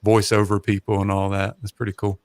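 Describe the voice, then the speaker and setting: A man, chatting casually as a guest and pausing often with fillers. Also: lowish voice, dry recording. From North Carolina. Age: 40s. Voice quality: soothing, gravelly. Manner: relaxed, hesitant, many fillers. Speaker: guest, high school math teacher. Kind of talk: casual livestream chat. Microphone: home podcast mic.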